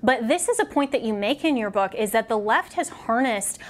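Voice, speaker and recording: A woman hosting a political talk show, setting up each segment in an earnest, measured tone. Her voice sits a little higher than most women's. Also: animated.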